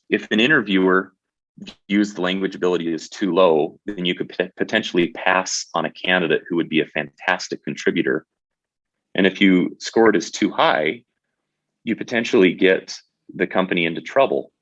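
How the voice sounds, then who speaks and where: Man, very deep voice, webinar presenter, dry muffled audio, conversational. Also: fairly bright tone, no room sound. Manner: conversational, with many fillers and restarts.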